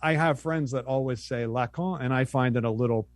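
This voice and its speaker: A middle-aged man is a podcast guest. He speaks in a relaxed, self-deprecating way and thinks aloud as he answers. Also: mid-range voice, a little fast, no room sound. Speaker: guest academic. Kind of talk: casual interview chat.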